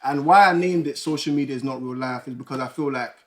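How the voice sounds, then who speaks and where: A man's voice: mid-range voice, fast talker. Casting: young guy, podcast host, laid-back and laughing, faint noise behind. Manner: relaxed, chatty, laughs easily. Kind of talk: casual chat.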